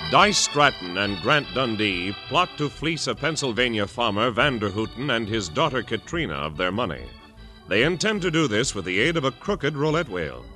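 Male radio drama narrator, emphatic, bright tone, over faint organ. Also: medium pitch, sing-song, moderate pace. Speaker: radio announcer. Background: organ music.

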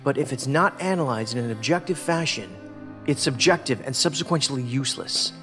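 A man's voice: mid-range voice, lively intonation, a little fast, lightly acted. A male actor as a cocky, erudite sci-fi audio drama investigator with a mid-range voice. He lectures in a wry, wordy way. Long reverb surrounds him and music plays underneath. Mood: argumentative, intense. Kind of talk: science fiction audio drama acting.

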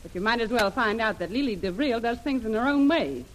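An actress playing a bold, determined adventuress in a vintage radio serial, brusque and slangy, on an old noisy recording. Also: female voice, a little high, animated, fairly quick, natural voice. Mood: defiant, threatening. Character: bold, tough, determined adventuress threatening with a gun. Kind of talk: 1930s American radio serial acting.

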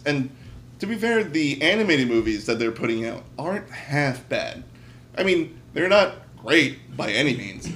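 A man with a medium-pitched voice co-hosts a casual podcast. He talks in a blunt, emphatic way, joking and swearing as he gives opinions. A faint noise sits behind his voice. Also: fairly bright tone. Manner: blunt, emphatic, profane, jokey. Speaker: podcast co-host.

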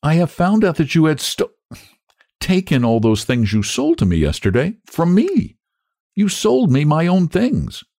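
A man plays a well-meaning storybook woman, bewildered and flustered. The voice is raised in pitch and slightly husky, moving restlessly with dismay. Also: wildly swooping pitch, moderate pace, few pauses, warm tone, no echo, exaggerated voice. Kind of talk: storybook character voice.

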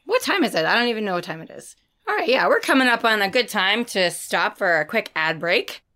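A woman hosting a book podcast, hoarse from a lost voice, chatting eagerly and laughing often. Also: mid-range voice, big pitch swings, a little fast, bright, forceful tone. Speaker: podcast host. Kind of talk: casual chat about new books.

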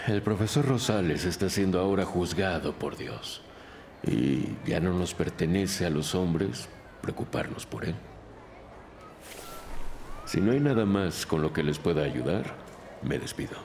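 A man playing a severe, pious reverend with a solemn, measured delivery, in an audio drama. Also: low voice, lively intonation, darkish tone, slight background noise. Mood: stern, reserved. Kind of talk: Spanish-language audio drama acting. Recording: long hall reverb, faint music.

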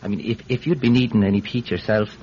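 A man's voice: lowish voice, fairly even intonation, fast, a little mellow, close to an everyday voice. A man acting a rambling, stammering Irish countryman on muffled old radio. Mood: flustered, eager. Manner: hesitant, stammering, digressive storytelling. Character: rambling, eager Irish countryman, a peat seller testifying in court. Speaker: actor playing a witness at a court hearing. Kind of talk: classic radio drama acting. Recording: muffled, hissy vintage radio recording.

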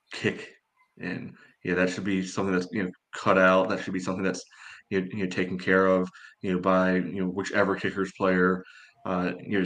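A man giving casual sports analysis as a podcast panelist, in halting phrases padded with filler. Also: sits low, fairly bright tone. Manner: halting, often says "you know".

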